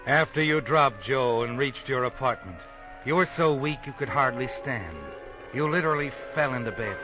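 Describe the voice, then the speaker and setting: A man's voice: medium pitch, music in the background, natural voice. An actor playing a stern, knowing narrator who tells a woman her own story in dramatic old-time radio style. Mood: ominous. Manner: deliberate, ominous, knowing. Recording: dull vintage radio recording.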